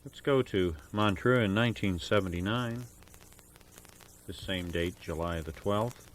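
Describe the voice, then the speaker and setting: A man working as a radio DJ, introducing jazz records in a measured, formal announcing style with careful enunciation of names and dates. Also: low voice. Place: radio studio. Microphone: broadcast studio microphone.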